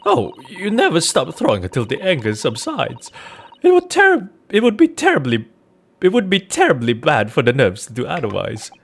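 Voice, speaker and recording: A man's voice: a little high, huge pitch swings, moderate pace, gravelly, slightly rough, voice pushed up, exaggerated voice. Man putting on a swooping, haughty, indignant housewife. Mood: huffy admission. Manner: flustered, pompous, huffy. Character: haughty, indignant, quick-tempered married woman in a courtroom. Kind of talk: dramatic video game character voicing.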